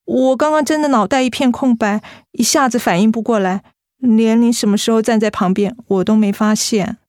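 A woman's voice: fairly high pitch, moderate pace, darkish tone, no room sound, studio quality, close to an everyday voice. An actress playing an earnest, wronged career woman in a radio drama, with a wounded delivery. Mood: hurt, bewildered.

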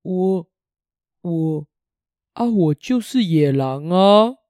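A harmless big bad wolf from a children's storybook, slow and plaintive, as a woman puts him on. He talks in a sheepish, drawn-out way. The sound is dry and close. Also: fairly low pitch, lively intonation, darkish tone, no room sound. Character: mild-mannered male big bad wolf.

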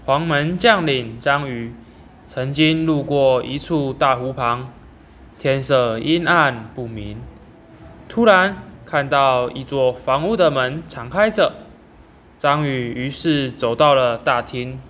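A man's voice: medium pitch, slow pace, fairly bright tone, slight background noise. A teenage male student announcing and narrating a scripted amateur radio drama. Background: music.

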